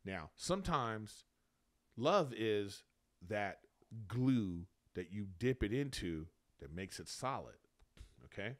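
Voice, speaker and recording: Male podcast co-host, about 50. Bright, punchy tone, animated intonation, rambling conversational advice-giver. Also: fairly low pitch, slow pace. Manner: animated, rambling, emphatic explainer. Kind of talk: casual chat giving relationship advice.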